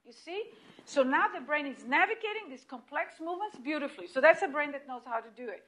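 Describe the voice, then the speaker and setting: Woman, Israeli accent, high-pitched, animated lecture, distant mic in a room. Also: fast. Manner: animated and emphatic, with restarts and repeated points. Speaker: lecturer at hospital grand rounds. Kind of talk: lecture presentation.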